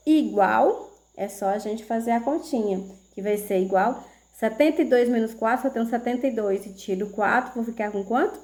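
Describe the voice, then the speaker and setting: A woman's voice: fairly high pitch, darkish tone. A woman teacher speaking, explaining a math lesson to children in a patient way and often checking that they follow. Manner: slow, patient, checks in with "tá certo?".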